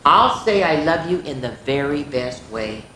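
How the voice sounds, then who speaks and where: A woman's voice: deep voice, animated, normal speed, pushed and bright. A woman playing a cheerful, eager young male lion from a children's storybook, speaking in earnest rhyme. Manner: rhyming, earnest storybook delivery. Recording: echoey room with faint background noise.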